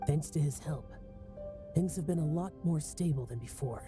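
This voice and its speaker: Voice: mid-range voice, normal speed, warm tone, natural voice. An actor voicing a solemn young male demon slayer in a video game, reserved and cautious, with music behind. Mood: reserved, reassuring.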